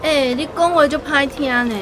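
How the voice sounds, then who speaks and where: A woman's voice: high-pitched, normal speed, background noise, natural voice. An actress with a Taiwanese accent playing a coy, mock-scolding young stall girl in a radio drama.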